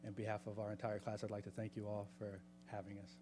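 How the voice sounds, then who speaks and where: A man's voice: lowish voice, completely flat delivery, fast, noisy background. A man introducing himself and thanking the board on behalf of his class, polite and formal. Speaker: medical student, class spokesman.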